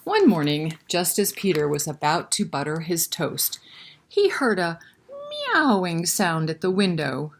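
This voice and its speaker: Female story-time reader, slightly low pitch, very animated intonation, chatty asides and questions for children. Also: unhurried. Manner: expressive, chatty, prompting listeners with questions. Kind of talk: picture book read-aloud with asides to children.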